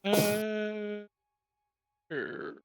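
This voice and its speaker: A man's voice: high voice, smooth, no echo. A young man running a tabletop game as game master, chatty and stumbling, with lots of fillers. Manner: chatty, stumbling, quick asides with frequent fillers. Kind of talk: casual tabletop game chat.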